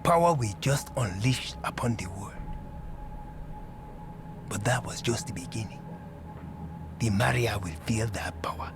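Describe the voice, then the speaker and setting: An actor playing a menacing, cold-blooded dealer in a video game, speaking deliberately and threateningly. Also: male voice, lowish voice. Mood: quiet menace. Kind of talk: dramatic video game voice acting. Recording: music behind the voice.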